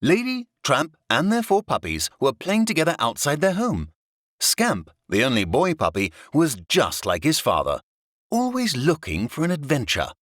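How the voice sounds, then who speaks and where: A man narrating a scripted children's story in a dramatic, expressive storytelling manner. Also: fairly high pitch, moderate pace, no room sound, studio quality.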